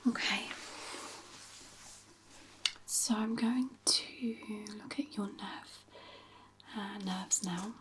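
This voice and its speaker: Slow, whispering woman in soft ASMR. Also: mid-range voice, background noise. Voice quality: breathy, whispery. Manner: gentle, reassuring, instructing. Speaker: ASMR performer playing a physiotherapist. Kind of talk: soft-spoken ASMR medical roleplay.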